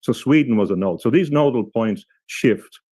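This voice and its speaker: A man giving thoughtful, halting explanations as an interview guest, often restarting his sentences. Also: medium pitch, animated, dark tone, no room sound, clean studio sound.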